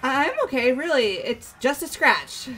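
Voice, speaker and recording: A woman's voice: sits high, expressive, measured pace, bright, forceful tone, exaggerated voice. A woman playing an earnest, emotional, sporty teenage girl in a video game, sincere and a little hesitant. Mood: upset. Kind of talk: video game character voice-over. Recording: music bed behind voice.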